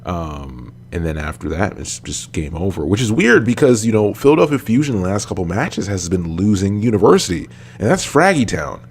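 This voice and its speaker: Low-voiced male podcast co-host with a cold, slightly raspy, faint hum under the recording. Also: moderate pace. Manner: relaxed, lots of ums and you-knows. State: sick, slightly raspy, sniffly. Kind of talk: casual esports podcast chat.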